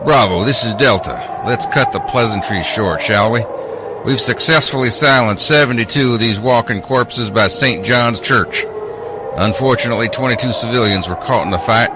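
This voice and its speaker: A man playing a steady, businesslike soldier reporting in a radio drama, curt and matter-of-fact. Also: natural voice. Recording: noisy field radio feed, vehicle rumble.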